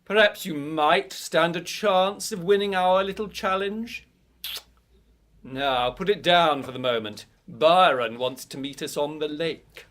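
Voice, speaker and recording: A man playing a sceptical, indulgent literary gentleman in a period radio drama, measured and gently patronising, on a live stage. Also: a little high, a little hiss or hum. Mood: easygoing. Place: theatre stage, live performance.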